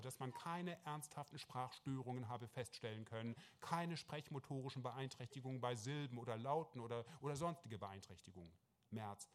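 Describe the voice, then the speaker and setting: A man reading a first-person literary story aloud, measured and wry, with small restarts. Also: mid-range voice. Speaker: narrator. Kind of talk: first-person literary narration, reported speech.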